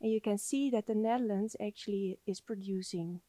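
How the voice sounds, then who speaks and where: A woman in her thirties giving an academic lecture in a soft voice, standing in a library lecture space. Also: medium pitch, steady tone, brisk, no echo. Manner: soft-spoken.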